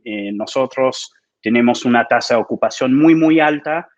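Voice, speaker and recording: A man's voice: medium pitch, normal speed. American man on a dull lo-fi line. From United States. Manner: thoughtful, slips into English. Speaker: panelist. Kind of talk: panel discussion. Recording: dull lo-fi sound.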